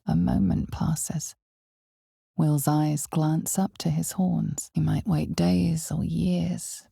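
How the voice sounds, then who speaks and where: A woman voicing an ominous, knowing narrator of a dark fantasy game, speaking in a measured, dramatic, often hushed way. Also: low-pitched, creaky, husky, dry recording, studio quality, exaggerated voice.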